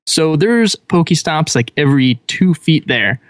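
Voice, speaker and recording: A man's voice: fairly high pitch, lively intonation, measured pace, no room sound, studio quality. A man on a podcast, casually telling an upbeat story. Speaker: podcast guest.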